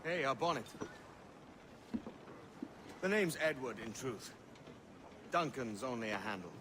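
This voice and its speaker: An actor playing a bold, free-spirited pirate who talks in a confident, wry way, in a game cutscene. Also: male voice, medium pitch, animated, measured pace, background noise, natural voice. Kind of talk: video game cutscene acting.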